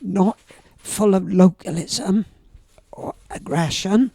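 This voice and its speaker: A man in his seventies with a Welsh accent and a croaky voice, telling stories casually as a guest, rambling with lots of fillers. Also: high-pitched, warm tone, no room sound. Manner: rambling, halting, with many fillers. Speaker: guest surfer. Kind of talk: casual storytelling.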